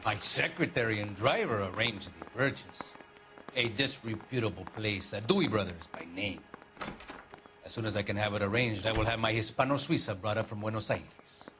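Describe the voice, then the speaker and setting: An actor playing a haughty, indignant Argentine consul general in a video game cutscene, speaking formally. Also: male voice, lowish voice, moderate pace, over music, close to an everyday voice.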